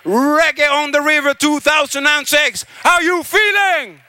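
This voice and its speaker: A man's voice: very high voice, fairly even intonation, a little slow, sharp tone, a little hiss or hum. A man from Chile working as a reggae festival MC, exclaiming and repeating phrases in reggae slang to hype the crowd over a stage microphone.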